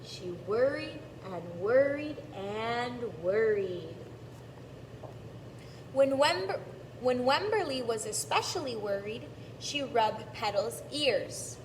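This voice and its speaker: A woman reading a storybook aloud to preschoolers in a deliberate teacher's manner, stretching out repeated words and pausing to explain. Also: a little high, expressive. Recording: echoey, distant mic, clearly noisy background.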